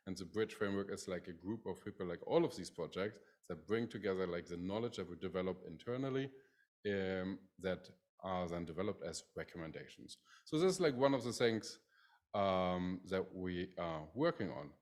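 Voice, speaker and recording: Deep-voiced german guy giving a talk, keeps saying like, restarts a lot. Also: animated, normal speed, darkish tone. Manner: many "like" fillers, restarts. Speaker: professor presenting his lab. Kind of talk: conference presentation.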